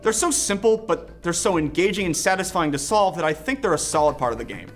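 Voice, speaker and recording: A man's voice: sits high, a bit bright. A man narrating an enthusiastic scripted video game review. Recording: music playing behind the voice. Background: background music.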